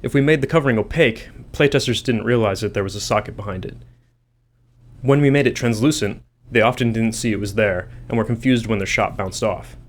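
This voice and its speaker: A man's voice: on the low side, a little fast. A man who works as a game developer, reading scripted commentary on design.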